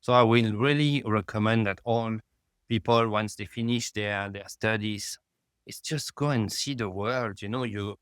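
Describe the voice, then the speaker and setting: Man, smooth lowish voice. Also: no echo, studio quality. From France. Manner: halting, fills with "you know", rises in emphasis. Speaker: guest, lab founder. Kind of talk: remote conversational interview.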